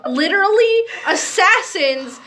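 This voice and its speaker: A woman's voice: very high voice, animated, unhurried, sharp tone, a little hiss or hum. A woman chatting casually as a podcast co-host, joking and giggly as she goes. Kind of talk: casual comedic chat.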